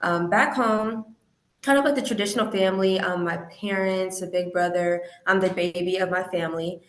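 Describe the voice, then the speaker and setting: The sound is noticeably roomy and echoey. A young woman from the American Midwest speaks in a slightly low voice. She is an interview guest, sharing her testimony earnestly and reflectively. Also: steady tone, normal speed, fairly bright tone. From Indiana, American Midwest. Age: young adult. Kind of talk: personal testimony in conversation. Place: remote online call. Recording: roomy, echoey call audio.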